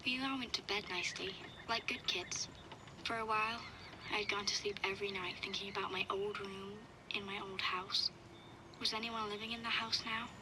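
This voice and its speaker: Woman as a young survivor girl, flat, measured delivery. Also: mid-range voice, background noise, natural voice. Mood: wistful, uneasy. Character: young girl survivor recording a diary, wary and reflective. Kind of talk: first-person audio log narration.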